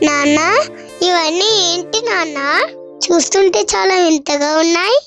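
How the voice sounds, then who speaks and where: A performer playing an innocent, curious little village girl with childlike, plaintive questioning, in an animated moral story. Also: extremely high pitch, normal speed, faint music behind. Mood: innocent, sad.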